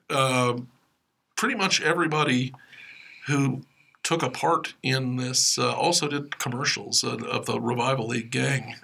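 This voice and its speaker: A man hosting a casual podcast chat in a halting, discursive way. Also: medium pitch, gravelly, bright, forceful tone, slightly rough. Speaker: podcast host.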